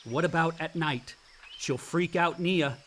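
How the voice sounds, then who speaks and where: A man's voice: a little high, unhurried, a bit bright, a little hiss or hum. A man acting a bitter, hot-tempered young adult son in a naturalistic radio play, blunt and sarcastic. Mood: sullen.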